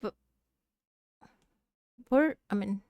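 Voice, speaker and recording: A woman's voice: medium pitch, extremely slow, no room sound, dull audio. A woman from Indonesia, an English speaker, answering interview questions hesitantly with many fillers. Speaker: guest, real estate investor. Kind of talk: casual interview.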